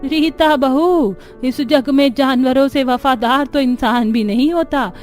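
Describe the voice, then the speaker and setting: A woman voicing a kindly old village grandmother in a cartoon story, with a drawn-out elderly delivery. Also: high-pitched, darkish tone, soft background music. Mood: content, sage. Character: kindly old village granny living in the forest. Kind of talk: Hindi cartoon story acting.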